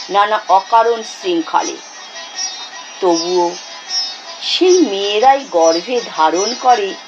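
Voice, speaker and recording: A woman reciting a poem dramatically, in a measured, expressive way with deliberate pauses. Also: medium pitch, rough recording. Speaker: poetry reciter. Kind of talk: dramatic Bengali poetry recitation. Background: instrumental music.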